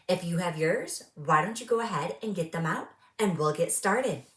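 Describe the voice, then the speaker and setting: A woman hosting a children's story time, reading aloud and presenting to young viewers in an expressive, measured way. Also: lowish voice, reverberant.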